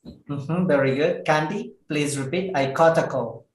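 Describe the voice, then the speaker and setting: A man teaching an English lesson to a class, speaking slowly and carefully and repeating words so students can follow. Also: on the high side. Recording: echoey room.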